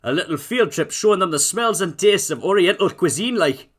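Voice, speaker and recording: A grey-haired biker chef, hearty and boastful, speaking louder and higher than the narrator's own voice, as a man acts him out. He sounds like a friendly storybook character full of local slang. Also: lively intonation, a little fast. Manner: boastful, hearty, dialect-laden. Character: cheerful grey-haired male northern English biker chef.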